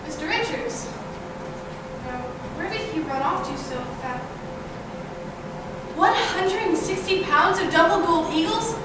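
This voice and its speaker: A woman playing a bustling, excitable small-town newspaper editor in a readers' theatre stage performance. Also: very high-pitched, measured pace, pauses often. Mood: excited. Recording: noisy, echoey stage recording from far off.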